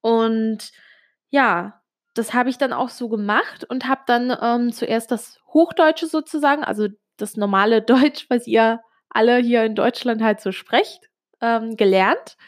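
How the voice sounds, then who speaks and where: A woman's voice: a little high, measured pace. A young woman from Switzerland, Italian her first language, telling a personal story straight to the listener in a confiding, casual way with many small fillers. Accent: Italian-Swiss German speaker with little Swiss accent. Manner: confiding, addresses the listener directly, frequent fillers. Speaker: vlogger.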